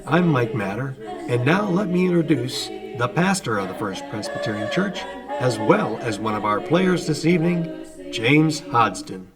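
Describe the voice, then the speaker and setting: Male American announcer, lively intonation, scripted sign-off, somewhat distant beneath loud music. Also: medium pitch, a little slow.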